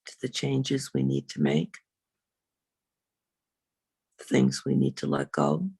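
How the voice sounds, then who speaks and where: A woman reverend leading a guided meditation in a slow, soothing, unhurried way, with long pauses. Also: sing-song, warm tone, no echo. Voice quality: low, husky, creaky.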